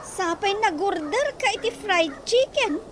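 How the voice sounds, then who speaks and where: A fretful young village woman exclaims her way through every worry, as an actress plays her. Her voice sits high and swings widely in pitch. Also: measured pace, background noise. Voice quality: excitable, exclaiming. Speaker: villager in a radio comedy drama. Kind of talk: radio drama acting.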